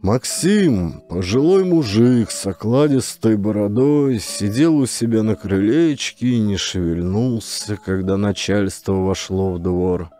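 A man narrating a literary story as an expressive audiobook storyteller. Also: on the low side, unhurried, hardly any pauses, soft background music.

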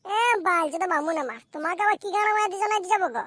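A performer voicing a hapless, foul-mouthed young cartoon groom in coarse slang, squeaky and childlike. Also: lively intonation, racing, pushed and bright, heavily acted. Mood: grumbling. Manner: coarse, grumbling, rural slang. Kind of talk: amateur comedy cartoon voice acting.

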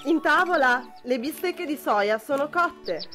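A woman's voice: high voice, lively intonation, unhurried, a bit bright, soft background music. A young woman, a student actor playing an affectionate, coaxing wife in an amateur radio drama. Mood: brisk, calling out. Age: young adult. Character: affectionate, soothing young-looking wife, Natura.

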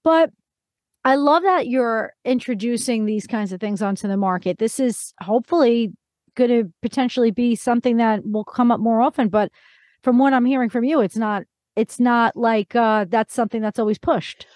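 A middle-aged woman hosts a casual interview in a fairly high voice. She talks in a chatty, animated way, and the sound is close and dry. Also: normal speed, no echo. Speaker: podcast host.

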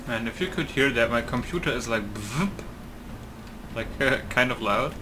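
A man's voice: mid-range voice, expressive, measured pace. A man giving casual, rambling gameplay commentary with muttering and offhand swearing. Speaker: gaming video creator. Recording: noisy home setup, loud computer.

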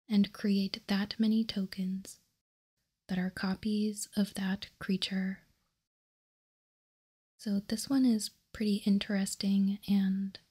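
Woman, ASMR host, breathy whisper, slow and unhurried, even delivery. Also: medium pitch. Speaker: ASMR card game video host. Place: indoors, tabletop card showcase.

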